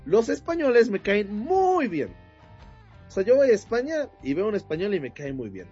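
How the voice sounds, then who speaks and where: A Mexican man with a Mexican Spanish accent, co-hosting a casual sports chat show, talking in an animated, mocking way and often laughing. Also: high-pitched, normal speed, slightly rough, highs cut off, faint music behind. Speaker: show co-host.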